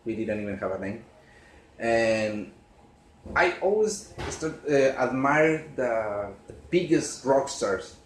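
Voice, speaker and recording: Earnest Mexican-accented bassist. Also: male voice, expressive. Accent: Mexican Spanish. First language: Spanish. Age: 30s. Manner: earnest, halting, searching for English words. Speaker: bassist in a touring band. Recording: echoey room with crowd noise.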